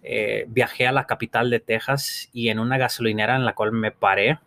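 A man's voice: mid-range voice, steady tone, moderate pace, gravelly, fairly bright tone. Earnest man giving motivational talk. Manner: earnest, encouraging, restates points with frequent restarts. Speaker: podcast host.

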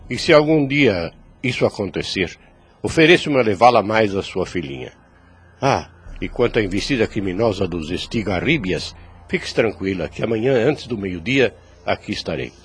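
An actor playing a calm, shrewd priest in his fifties in a radio drama, speaking formally and paternally with a Brazilian accent. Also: low-pitched, normal speed, exaggerated voice. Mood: gentle, reassuring. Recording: clear background noise.

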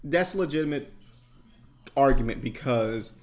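A man hosting a casual podcast, talking in a relaxed, rambling way with lots of fillers. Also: medium pitch, moderate pace. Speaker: podcast host. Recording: dull lo-fi sound.

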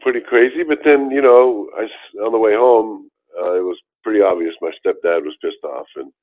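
Brief: older man, very low voice, rambling storyteller, heard over a tinny phone-like line. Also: normal speed. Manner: rambling, with many restarts and fillers. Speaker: guest telling his life story. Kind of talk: casual storytelling interview.

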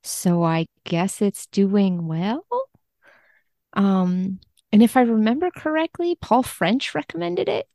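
A woman's voice: mid-range voice, slow, mellow, no room sound, clean studio sound. A woman talking casually as a translator guest in a podcast interview.

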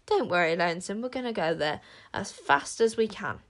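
Woman playing a cocky, excitable young wizard. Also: mid-range voice, normal speed, lightly acted. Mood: excited. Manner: animated, confident.

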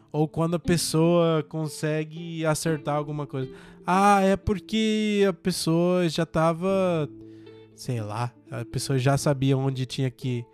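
A man's voice: a little high, darkish tone. Man, earnest Portuguese learner. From United States. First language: English. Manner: earnest and motivational, with frequent fillers. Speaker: podcast host and language teacher. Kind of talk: casual solo podcast monologue.

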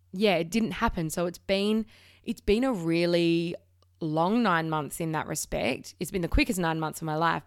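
A woman's voice: sits low, smooth, no echo, studio quality. A woman in her thirties hosting her own podcast, talking frankly and openly with casual swearing and frequent restarts, while she has a slight cold. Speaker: podcast host. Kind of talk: candid solo podcast chat.

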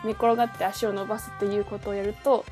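A woman's voice: mid-range voice, flat delivery, racing, over music. A woman hosting a casual book chat podcast, talking in a chatty way and thinking aloud as she goes. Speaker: podcast host; singer.

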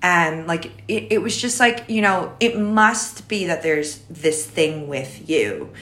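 Raspy low-voiced woman, rambling. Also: animated, slow, fairly bright tone, a little hiss or hum. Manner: rambling, many fillers and restarts. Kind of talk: casual podcast conversation.

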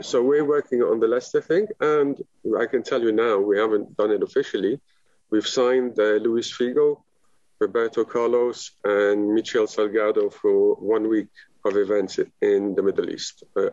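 A man's voice: on the low side, a little mellow, no echo, highs cut off. A man from Lebanon, a guest talking with enthusiasm in a casual podcast chat, rambling with many fillers. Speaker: guest, business founder.

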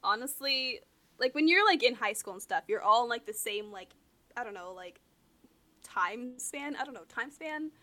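A university-age woman in her early twenties, thinking out loud in a loose, giggly way. She leans heavily on "like" and laughs often. Her voice is a little high. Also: expressive, normal speed. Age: 20s. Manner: reflective, giggly, many fillers. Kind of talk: casual chat.

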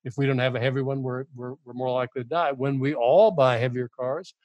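A man's voice: mid-range voice, fairly even intonation, brisk, no echo, highs cut off. An older man, a guest author on a podcast, making his case earnestly and often repeating words as he goes. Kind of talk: podcast interview.

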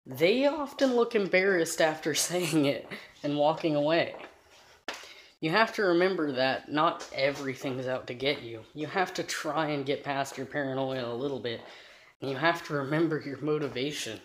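A man with a high voice giving an earnest, rambling personal monologue to camera, trailing off into fillers as he thinks aloud. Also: fairly bright tone, slight background noise. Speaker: vlogger.

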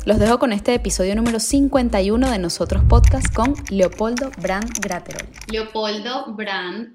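Venezuelan woman hosting a podcast, roomy sound. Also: mid-range voice. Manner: measured, warm-toned questions with frequent "¿no?" tags. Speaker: podcast host. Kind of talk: podcast interview.

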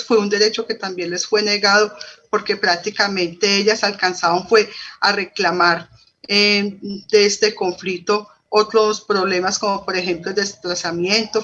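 A woman's voice: mid-range voice, pushed and bright, highs cut off. A woman with a Colombian accent, a researcher and professor, explaining her work as an interview guest.

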